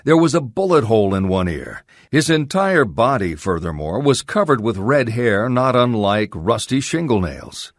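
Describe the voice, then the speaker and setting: Man, gravelly narrator voice, very animated intonation, close dry sound, pulp adventure storytelling. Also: mid-range voice, moderate pace, hardly any pauses, no room sound. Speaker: audiobook narrator, voice actor.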